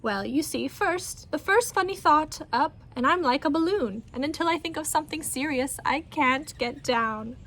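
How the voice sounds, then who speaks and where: A woman voicing a merry, excitable, rueful uncle in a children's storybook. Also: high-pitched, moderate pace, hardly any pauses, voice pushed up. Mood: excited explaining. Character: giggly bald uncle, a merry, helpless older man.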